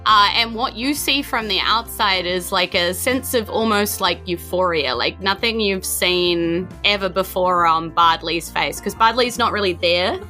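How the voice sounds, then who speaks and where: A woman's voice: medium pitch, measured pace, hardly any pauses, pushed and bright, soft background music. A woman with a New Zealand accent, running a tabletop game and painting scenes for her players with lots of "like" and "you know". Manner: vivid, searching descriptions full of "like" and "you know". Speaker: game master.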